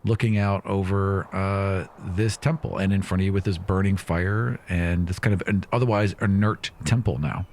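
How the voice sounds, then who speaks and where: This is a man with a fairly low voice, acting as game master at a tabletop fantasy game. He improvises his descriptions casually, piling up loose phrases as he explains the scene. It sounds like talk among players, not a scripted reading. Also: fairly even intonation, moderate pace, hardly any pauses, darkish tone, slight background noise.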